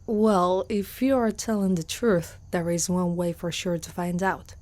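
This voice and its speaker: A woman's voice: fairly low pitch, fairly even intonation, measured pace, a little mellow, no echo, lightly acted. A woman with an Italian accent playing a proud, guarded but protective centaur girl in an ASMR roleplay, often dropping into whispers. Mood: suspicious, haughty. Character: proud, guarded young female centaur warrior, gruffly protective.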